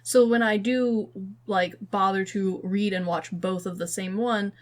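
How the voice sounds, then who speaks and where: A woman's voice: mid-range voice, steady tone, measured pace. Casual woman podcaster thinking aloud. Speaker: podcast co-host. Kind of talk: casual chat about films and games.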